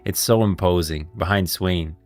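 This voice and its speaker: A man's voice: low voice, normal speed, darkish tone. A man giving casual, enthusiastic livestream commentary on game lore, speaking emphatically and in slangy language. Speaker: live streamer, lore commentator. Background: music.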